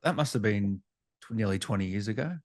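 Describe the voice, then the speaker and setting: A man's voice: lowish voice, brisk, darkish tone, no echo, studio quality. A man hosting a casual interview, speaking in a conversational way. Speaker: interviewer, gaming show host.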